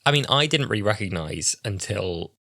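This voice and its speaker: A young man chatting on a podcast, his voice sitting low and sounding blocked up from a cold. He rambles easily with plenty of fillers and laughs. The sound is dry and close. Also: expressive, moderate pace, creaky, pushed and bright, dry recording. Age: 20s. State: stuffy nose from a cold. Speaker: podcast co-host. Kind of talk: casual chat.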